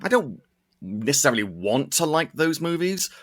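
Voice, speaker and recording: A man's voice: on the high side, sing-song, normal speed, fairly bright tone. A man hosting a podcast, chatting casually and comedically through entertainment news with opinionated, wry rambling. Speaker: podcast host.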